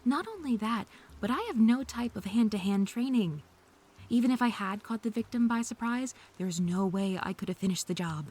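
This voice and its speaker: A woman's voice: a little high, lively intonation, normal speed, darkish tone, breathy edge. A woman playing a snarky, defensive female hacker under interrogation in an audio drama, sarcastic and indignant.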